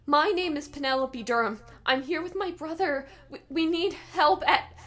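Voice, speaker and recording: A woman voicing a frightened, shaken young sister in a horror story, halting and stammering in panic. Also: sits high, fairly bright tone, pitched above the performer's own voice. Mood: frantic, halting.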